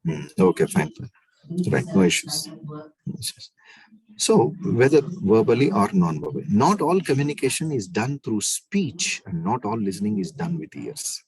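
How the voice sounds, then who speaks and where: A man with a deep bass voice, lecturing an online class in an emphatic way and repeating key phrases. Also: sing-song, moderate pace, darkish tone, slightly rough, rough recording.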